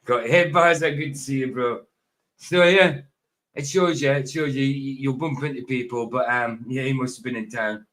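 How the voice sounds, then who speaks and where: A man's voice: medium pitch, steady tone, moderate pace, a bit bright. A middle-aged Scottish man chatting on a live stream, repeating himself and drifting into tangents as he talks over a video. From Scotland.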